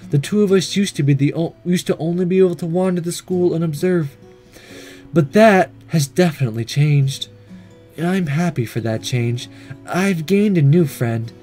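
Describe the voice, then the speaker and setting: Visual novel role: gentle ghost schoolgirl in love male reader, slightly high, tender and apologetic, faint music behind. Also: close to an everyday voice. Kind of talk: visual novel inner monologue read aloud.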